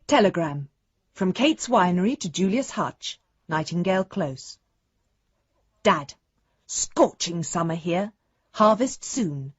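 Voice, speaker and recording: An actress playing a breezy, affectionate grown daughter, reading a telegram in short clipped phrases for a radio drama. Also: female voice, fairly low pitch, expressive, takes it slowly, long pauses, breathy edge, no room sound, muffled recording, exaggerated voice. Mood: cheerful, eager.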